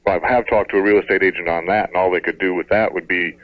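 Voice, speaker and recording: A man's voice: sits low, fairly even intonation, fast, dry recording. A man calling a radio show, politely answering questions in a phone conversation. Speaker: caller to radio advice show. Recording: dull lo-fi radio phone call.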